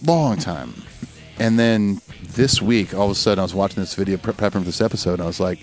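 Male co-host, fairly deep voice, informal and sweary rock chat, light music bed. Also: quick pace, darkish tone. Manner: informal, profane, conversational.

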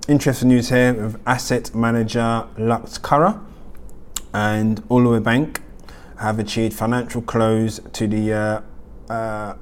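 Low flat guy reading news, lots of ums, faint hiss behind. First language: not German. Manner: hesitant, many ums and uhs. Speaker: host. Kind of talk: weekly news roundup vlog.

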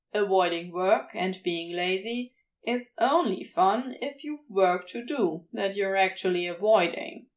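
A woman's voice: medium pitch, pitched below the performer's own voice, poor audio. A woman voicing a sardonic, grumbling male demon in an audio story, blunt and dry but devoted underneath. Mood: grumbling, vulnerable. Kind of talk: fan fiction audio reading, acted dialogue.

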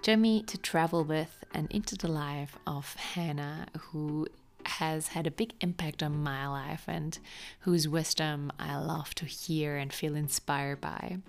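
A woman hosting an interview podcast, speaking in a soft, earnest way that turns breathy at times. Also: low voice, fairly even intonation, measured pace. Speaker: podcast host.

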